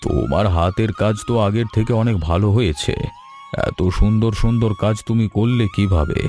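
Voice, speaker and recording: Man acting as approving village gentleman, deep and mellow. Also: moderate pace, soft background music, close to an everyday voice. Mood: pleased. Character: generous, approving gentleman who employs a weaver. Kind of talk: cartoon story voice acting.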